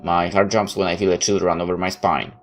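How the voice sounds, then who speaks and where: A man with a low voice reads a first-person story aloud. His delivery is dramatic and expressive, a little halting, with music playing behind him. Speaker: video game player reading a visual novel aloud.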